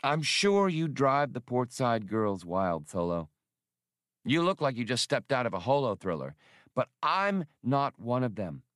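A man's voice: medium pitch, very animated, measured pace, a bit bright, no echo, clean studio sound, voice pushed up. A man voicing a proud, blunt young working-class woman spacefarer in an audiobook, speaking emphatically. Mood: proud, firm. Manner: scolding, emphatic.

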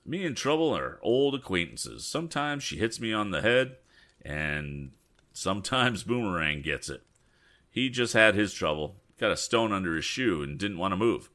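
A man's voice: lowish voice, sing-song, normal speed, fairly bright tone. A man voicing a folksy, apologetic, stubborn mule driver from a storybook, with a hesitant, rambling dialect. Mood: chatty, sympathetic.

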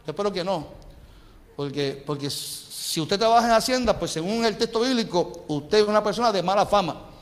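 A man's voice: sits high, lively intonation, normal speed, long reverb tail. A man with a Puerto Rican accent preaching a passionate sermon, calling on the congregation for amens. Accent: Puerto Rican Spanish. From Puerto Rico. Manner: impassioned, calls the congregation for amens. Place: church sanctuary.

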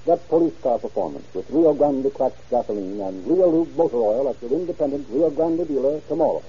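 Dark-voiced vintage radio announcer, a man. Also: medium pitch, animated, a little fast, husky. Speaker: narrator and announcer. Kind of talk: 1930s radio scripted narration and ad reading. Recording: muffled old radio recording.

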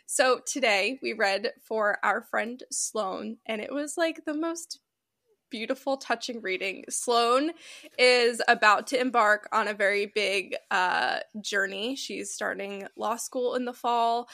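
A woman's voice: fairly high pitch, takes it slowly, vocal fry, pushed and bright. A woman co-hosting a casual podcast and reading tarot, with a congested, nasal voice from being sick. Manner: chatty, self-deprecating, laughs often. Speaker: podcast co-host.

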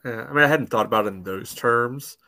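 A man giving casual soccer analysis as a podcast panelist, phrasing things haltingly with frequent filler phrases. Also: medium pitch, sharp tone, dry recording. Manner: hesitant phrasing peppered with "you know".

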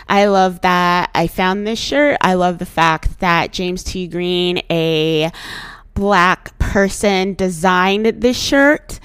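Hoarse low-voiced woman podcast host. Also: no room sound. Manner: earnest and outspoken, animated emphasis. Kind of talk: solo podcast talk.

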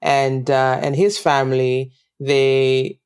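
Smooth-voiced Nigerian woman guest. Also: a bass voice, little pitch movement, slow pace. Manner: warm, reflective, laughs easily, many ums. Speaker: actress guest. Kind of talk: casual interview chat.

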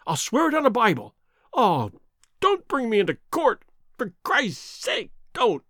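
Man voicing a high, frightened, cringing thief. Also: big pitch swings, moderate pace, dry recording, clean studio sound. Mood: desperate pleading. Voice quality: cracked, shrill. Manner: stammering, pleading. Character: frightened, cringing male hotel thief, pleading for mercy. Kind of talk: dramatic audiobook character reading.

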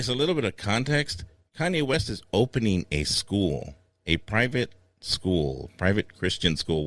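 A low-voiced man hosting a comedy podcast, bouncing his pitch around as he jokes. His voice sits dry and close, with no room sound. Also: a bit bright, dry recording. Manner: joking, tosses to segments. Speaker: podcast host. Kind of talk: casual comedic chat.

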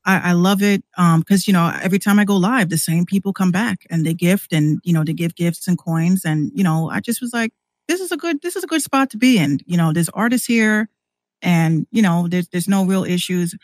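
A woman's voice: fairly low pitch, fairly quick, a little mellow, dry recording. Woman podcast guest with dry, close sound. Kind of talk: casual podcast chat.

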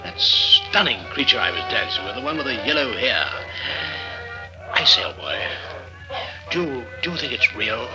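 An actor playing an excitable, flighty upper-class gentleman, stammering and fussy, in a 1950s radio drama. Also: mid-range voice, moderate pace, long pauses, low-quality recording. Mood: pleading, dreamy. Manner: stammering, fussy, old-chap exclamations. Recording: old radio recording with music.

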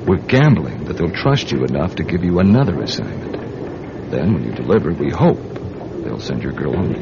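A man playing a world-weary intelligence agent in a radio drama, terse and low-key, partly narrating his own case. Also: very animated, normal speed, vocal fry, very noisy, exaggerated voice. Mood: guarded concern. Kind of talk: radio drama acting with first-person narration. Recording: dull radio recording, music behind.

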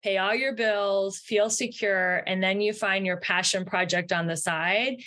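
A woman's voice: medium pitch, fairly even intonation, a little slow, a bit bright. A woman guest on a podcast interview, talking in an upbeat, earnest and encouraging way about her work. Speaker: podcast guest, success mentor. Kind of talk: interview answers.

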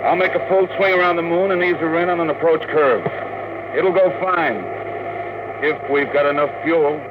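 An actor with an American accent playing a gruff, blunt, protective rocket pilot in classic radio drama, with clipped, slangy, commanding speech. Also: male voice, a little high, normal speed, narrow, tinny audio, lightly acted. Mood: concerned. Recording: noisy old radio drama recording.

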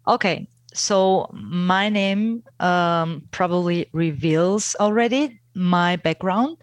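Slow-spoken woman. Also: on the low side, no echo. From Bulgaria. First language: Bulgarian. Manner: hesitant, many fillers, careful academic phrasing. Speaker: guest, geopolitics researcher. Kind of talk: interview answers.

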